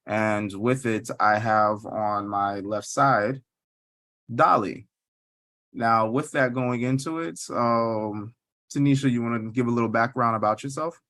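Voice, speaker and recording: A man's voice: medium pitch, fairly even intonation, a little slow, fairly bright tone, no echo. Laid-back man hosting a podcast. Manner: laid-back, repeats filler phrases. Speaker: podcast host.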